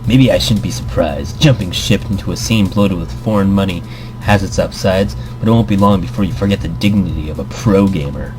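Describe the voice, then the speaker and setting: A man's voice: low voice, fairly quick, natural voice, rough recording. A man voicing a smug, scornful male pro gamer in a visual novel, sneering and condescending, over music. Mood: cold, taunting.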